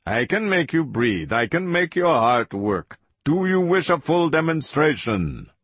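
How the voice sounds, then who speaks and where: Man acting cruel male interrogator, audiobook villain, deliberate, commanding, slightly husky, very varied intonation. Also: on the high side, sing-song, a bit bright, no echo, voice pushed up, full character voice. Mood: smug threat. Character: cold, sadistic male interrogator, smugly patient. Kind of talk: audiobook character voice.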